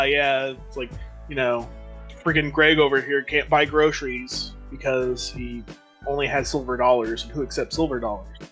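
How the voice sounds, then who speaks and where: A man's voice: mid-range voice, bright, forceful tone. A man co-hosting a casual livestream, telling rambling stories full of hesitations. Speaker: podcast co-host.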